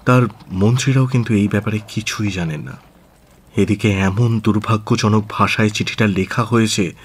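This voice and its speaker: An actor playing an aristocratic government minister in a radio drama, formal, earnest and deliberate, with hesitant pauses. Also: male voice, lowish voice, husky. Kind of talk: Bengali radio drama acting.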